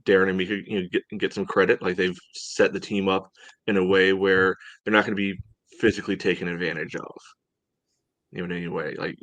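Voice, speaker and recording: A man with a fairly low voice co-hosts a casual sports podcast. He breaks things down in a measured, hedging way, leaning often on "you know". The sound is dry, with little room in it. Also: level tone, fairly bright tone, no echo. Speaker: podcast co-host. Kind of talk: casual sports analysis chat.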